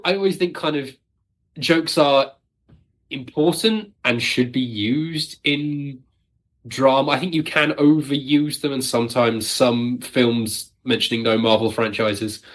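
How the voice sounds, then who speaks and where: A man's voice: medium pitch, unhurried, fairly bright tone. A British man chatting casually as an interview guest, self-deprecating and wry, talking as a writer.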